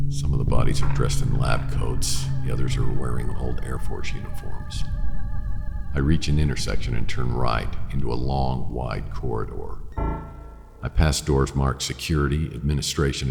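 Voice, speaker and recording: A hard-boiled detective narrating a suspenseful radio drama in the first person. His voice is extremely deep and heavy with gravelly fry. The delivery is measured and brooding, ringing in a long reverb over a music bed. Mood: grim, uneasy.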